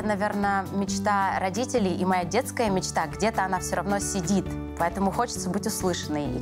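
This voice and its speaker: A woman in her thirties from Western Siberia, a singing contestant, talking calmly and reflectively to camera. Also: on the low side. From Western Siberia, Russia. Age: 30s. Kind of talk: interview to camera.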